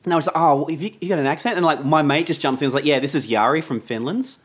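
A man co-hosting a casual chat, telling an anecdote in a relaxed, rambling way. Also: a little high, racing, husky. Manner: laid-back anecdotal storyteller. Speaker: podcast co-host. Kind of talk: casual storytelling.

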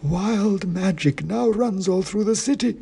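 A man's voice: high voice, gravelly, dark tone, husky, pitched above the performer's own voice, full character voice. A faint, dusty ancient king's head. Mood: ominous. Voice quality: dusty, faint, slow and chanting. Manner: slow, drawn-out rhyming pronouncements. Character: ancient disembodied king's head, solemn, speaks in rhyme.

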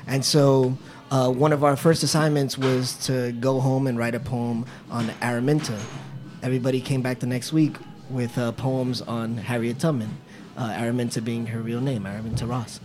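A man in his thirties from New York, a poet telling his story as an interview guest with lively fillers. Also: mid-range voice, moderate pace. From New York, United States. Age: 30s. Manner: animated storytelling, fillers, laughs. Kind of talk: conversational interview.

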